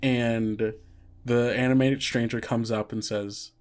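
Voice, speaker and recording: A man's voice: medium pitch, flat delivery, a little mellow, slight background noise. A man narrating as game master, hesitant and filling gaps with "uh" and "like". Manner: halting, lots of fillers like "uh" and "like".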